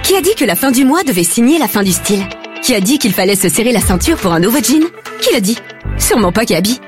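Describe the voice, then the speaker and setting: A woman reads a radio advertisement quickly in a slightly high voice. Music plays clearly underneath. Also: sing-song. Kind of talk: radio ad read.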